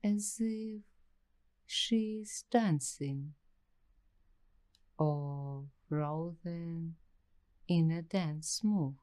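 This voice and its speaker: A woman playing an uneasy, paranoid young waitress in an ASMR roleplay, speaking in hushed, deliberate, halting phrases with suspenseful pauses. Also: deep voice. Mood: eerie wonder. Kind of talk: slow ASMR roleplay storytelling.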